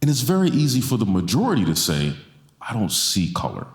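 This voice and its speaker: A man's voice: fairly low pitch, wildly swooping pitch, fairly quick. A man in his forties speaking with passion to a church audience on stage, holding a handheld mic and repeating lines for stress. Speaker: guest speaker. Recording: roomy church hall sound.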